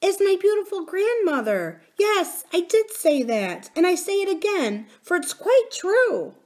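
A woman's voice: extremely high pitch, big pitch swings, a little slow, darkish tone, voice pushed up, heavily acted. A woman voicing a young princess girl, earnest and proud, who speaks in a pleading, sometimes indignant way.

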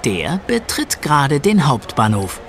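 A man narrating a scripted children's audio drama in an expressive storytelling manner. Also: medium pitch, a little slow, music in the background.

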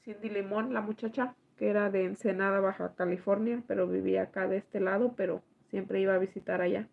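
A woman, hoarse from allergies, chatting slowly with viewers and reading their comments aloud on a live stream from home at night. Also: mid-range voice, fairly even intonation, phone-quality sound. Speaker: livestream host reading chat. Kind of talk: casual live chat with viewers.

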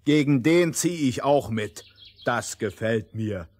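Slow, blunt burly lumberjack. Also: male voice, mid-range voice, animated, darkish tone. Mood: blunt, curious. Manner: blunt, muddles words. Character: gruff, slow-witted burly lumberjack strongman. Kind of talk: fairy-tale audio play acting.

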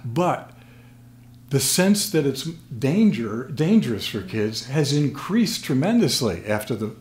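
Older american guy, expert guest explaining stuff, medium pitch, pauses between phrases. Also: very animated, a little mellow. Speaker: guest expert, sports sociologist. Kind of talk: explanatory interview talk. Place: small plain room at a table, indoors. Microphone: desk microphone on stand.

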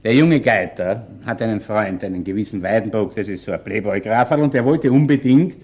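An actor playing a shrewd, unhurried Viennese police inspector in a radio crime play, with an Austrian accent. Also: male voice, fairly low pitch, husky, muffled recording. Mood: explaining. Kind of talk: naturalistic radio crime drama acting.

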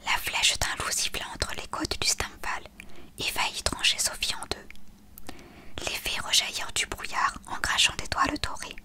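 A woman reading a story aloud as scripted narration, expressive and hushed. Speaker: audiobook reader.

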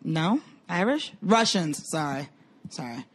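Lady co-host, casual back and forth, kinda hesitant but teasing, really animated intonation. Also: low-pitched, slow pace, husky. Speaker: podcast co-host. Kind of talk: casual chat, playful debate.

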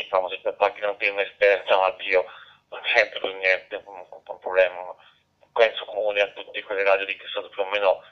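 Male ham radio operator, lowish pitch, conversational and wandering with lots of fillers, lo-fi radio transmission. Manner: rambling, frequent filler phrases. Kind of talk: casual radio contact chat. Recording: dull digital two-way radio audio.

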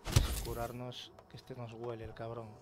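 A man's voice: medium pitch, completely flat delivery, moderate pace, very noisy. A man with an accent from Spain giving casual, informal gameplay commentary, swearing and muttering to himself. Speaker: gaming video host. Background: loud game soundtrack.

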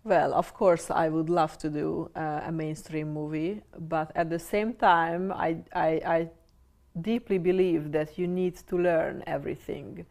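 Gravelly deep-voiced Hungarian woman. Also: steady tone, darkish tone. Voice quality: slightly deep voice. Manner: measured, searching for words. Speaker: interviewee. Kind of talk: casual interview answers.

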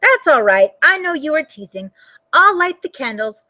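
A woman's voice: high-pitched, very animated, normal speed, pushed and bright, dry recording, voice pushed up, exaggerated voice. A woman voicing a sweet, frail, very old woman who is hard of hearing, cheerfully fussing over a guest in a storybook. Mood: cheery. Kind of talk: storybook character voice.

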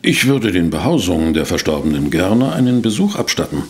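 An actor playing a polite, pensive private detective who narrates and investigates in a German audio drama. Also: male voice, low voice, big pitch swings, brisk, breathy edge, exaggerated voice. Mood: polite, probing. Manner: courteous, pensive, hesitant. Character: polite, inquisitive male private detective, narrating his case.

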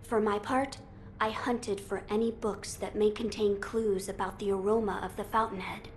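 A woman's voice: mid-range voice, fairly even intonation, normal speed, slight background noise, natural voice. A woman voicing an earnest, dignified young noble boy in a video game, formal and polite. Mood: earnest, gracious.

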